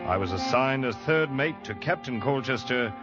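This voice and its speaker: A man's voice: mid-range voice, expressive, moderate pace, lightly acted. An actor playing a young ship's apprentice who narrates his story earnestly in a vintage radio drama. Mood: reflective. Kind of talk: 1940s radio acting. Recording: dull old radio broadcast with music.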